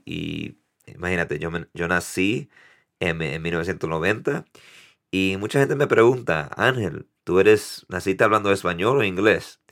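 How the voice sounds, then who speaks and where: Deep-voiced man, Dominican-gringo Spanish. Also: gravelly, fairly bright tone. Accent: mix of Dominican Spanish and American English. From New York, United States. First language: English. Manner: halting, self-correcting, laughs at himself. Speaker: podcast host. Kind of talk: casual solo podcast.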